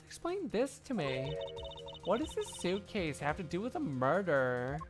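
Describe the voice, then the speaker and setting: A man's voice: high-pitched, sing-song, a little slow, over music, pitched above the performer's own voice. A man voicing a dim, dreamy young female flight attendant with an airy, drawling, vague way of talking in a live game fandub. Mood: puzzled.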